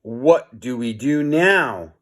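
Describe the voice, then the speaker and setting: A man voicing an excitable, quick-witted schoolboy in a children's storybook, in an exclamatory manner. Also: mid-range voice. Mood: alarmed.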